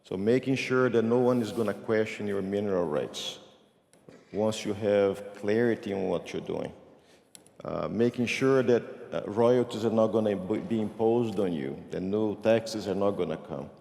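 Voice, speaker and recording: A man speaking as a panelist at a conference, laying out his points one by one in a measured way, with restarts and "gotta". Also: on the low side, vocal fry, warm tone. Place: conference stage in a large hall. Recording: long hall reverb from a conference stage.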